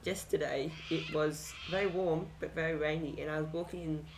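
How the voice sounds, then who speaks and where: A man's voice: on the high side, steady tone, moderate pace, slight background noise, low-quality recording. A male podcast co-host speaking in casual chat.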